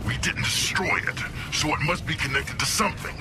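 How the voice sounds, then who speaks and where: Man voicing a brash alien warrior, highly animated. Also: a little fast, close to an everyday voice. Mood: intent, restless. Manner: bold, eager, swaggering battle talk. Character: brash alien warrior, glory-hungry younger brother. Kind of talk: video game cutscene acting. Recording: loud game music and battle rumble behind.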